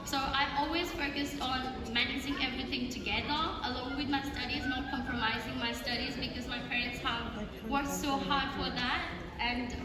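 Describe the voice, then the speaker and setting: A young woman with a high voice gives an earnest graduation speech, English being her second language. She is picked up from a distance in a large hall, with heavy echo and a long reverb tail. Steady background noise sits under her voice. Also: normal speed. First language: not English. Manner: earnest, grateful, listing achievements. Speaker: graduation valedictorian speaker. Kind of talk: prepared speech. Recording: echoey hall from far off, noisy.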